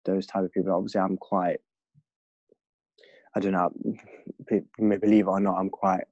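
A man's voice: sits low, little pitch movement, moderate pace, darkish tone, no echo. A young man from London with an English accent, a podcast guest reflecting earnestly in casual conversation. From London, England. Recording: dull lo-fi dry recording.

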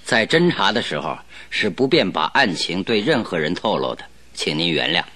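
An actor playing a calm, polite, guarded detective in a radio drama. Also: male voice, sits low, moderate pace, dry recording, heavily acted.

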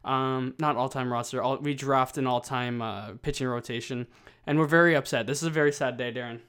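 Guy cohosting a chatty podcast, smooth voice, jokey and self-deprecating. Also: mid-range voice, steady tone, fairly quick, a bit bright. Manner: joking, self-deprecating, often restarts. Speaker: podcast co-host. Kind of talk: casual chat.

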